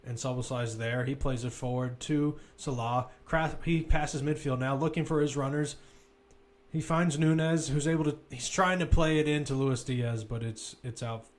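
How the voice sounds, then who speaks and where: Male football commentator, mid-pitched, live running play-by-play with off-the-cuff asides and corrections. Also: fairly even intonation, moderate pace.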